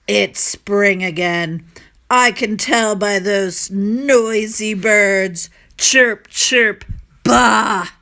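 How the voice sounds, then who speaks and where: A woman's voice: mid-range voice, sharp tone, exaggerated voice. A woman voicing a mean, miserly old landlord in a children's storybook, grumbling and scornful. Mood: grouchy. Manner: grumbling, scornful, drawn-out exclamations. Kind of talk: children's storybook read-aloud.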